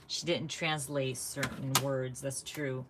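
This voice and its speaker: A woman's voice: very deep voice, fairly even intonation, slow, noisy background. A woman from the United States chatting casually while she crafts live, thinking aloud and laughing often. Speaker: craft vlogger.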